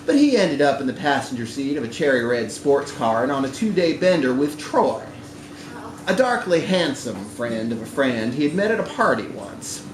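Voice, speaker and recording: Male story reader, theatrical narration, lively pitch, live bar event, echoey recording. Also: normal speed. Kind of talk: expressive literary narration read to an audience. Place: live reading in a bar room. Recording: echoey live room recording with background noise.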